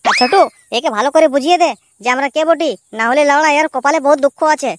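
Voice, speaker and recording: A performer voicing an excitable, crude petty crook in a slapstick cartoon, exclaiming and repeating words. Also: high-pitched, fast talker, no echo, studio quality. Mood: blustering threats. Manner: exclamatory, repetitive, swearing, bantering. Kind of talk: comic cartoon dubbing in colloquial dialect.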